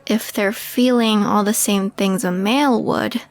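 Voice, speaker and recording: A woman's voice: mid-range voice, steady tone, unhurried, no room sound, close to an everyday voice. A woman playing a skeptical, wry young alien woman in an audio roleplay, hesitant and questioning. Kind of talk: intimate audio roleplay acting.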